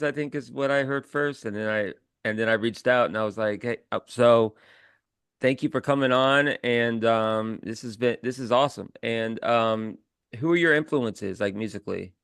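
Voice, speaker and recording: Brief: male podcast host, smooth clear voice, casual and rambling, dry close sound. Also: mid-range voice, unhurried, a bit bright, dry recording. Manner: rambling, heavy on fillers.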